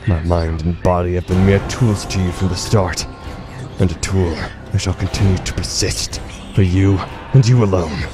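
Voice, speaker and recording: A man's voice: low-pitched, steady tone, normal speed, music in the background, lightly acted. An actor playing a beaten, devoted servant who solemnly begs his masters for power in an audio drama. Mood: pleading, grim. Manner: solemn, supplicating.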